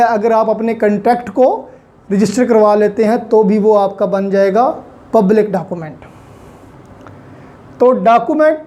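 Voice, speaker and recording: A Pakistani-accented law teacher with a soft, mellow tone and a mid-range pitch. The delivery is explanatory and didactic, restating each key point and dropping English legal terms into the lecture.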